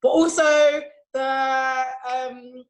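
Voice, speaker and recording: A woman tells a chatty, laughing story to a friend over a video call. Her voice is high and bright for a woman. The delivery is loose and casual. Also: fairly even intonation, extremely slow. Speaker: friend of the host.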